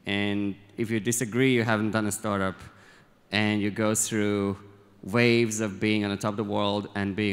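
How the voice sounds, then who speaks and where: A man hosting an event, introducing the next speaker on stage in an emphatic, conversational way. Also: lowish voice. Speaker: event host. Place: large conference hall. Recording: long hall reverb with crowd noise.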